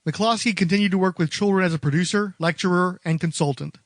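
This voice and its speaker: A man's voice: high-pitched, fast, dry recording, clean studio sound. A man hosting a podcast, reading scripted documentary-style narration. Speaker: podcast host.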